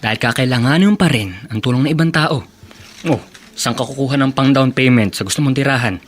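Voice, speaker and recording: A man acting a scheming, smug young suitor with smooth, smirking delivery in a radio drama. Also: mid-range voice, fairly quick, lightly acted. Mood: coaxing.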